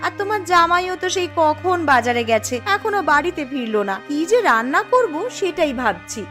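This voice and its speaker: A high-pitched, very animated young village wife played by a woman in a cartoon. She nags and insists expressively, with light music behind her. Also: fairly quick. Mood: worried, explaining. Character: nagging, demanding young village wife, a married daughter. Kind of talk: Bengali moral cartoon dubbing.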